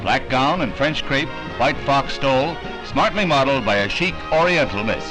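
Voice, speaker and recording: A man's voice: mid-range voice, normal speed, poor audio. An American man narrating a 1960s newsreel from a script, with a polished, declamatory announcer's delivery. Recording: vintage 1960 newsreel soundtrack, music behind.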